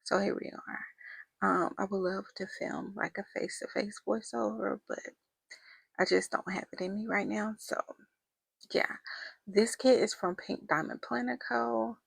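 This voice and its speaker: A woman with a raspy voice that is rough from her first talking of the day, chatting loosely through a planner voice-over with lots of ums and likes. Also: mid-range voice, fairly even intonation, unhurried, no room sound. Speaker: planner vlogger. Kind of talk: casual voice-over chat. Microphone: voice-over mic.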